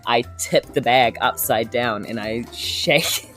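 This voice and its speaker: A woman's voice: very deep voice, takes it slowly, a bit bright. A woman with a New Zealand accent chatting casually as she improvises her part as a player in a tabletop game. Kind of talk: casual improvised role-play chat. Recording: music playing behind. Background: background music.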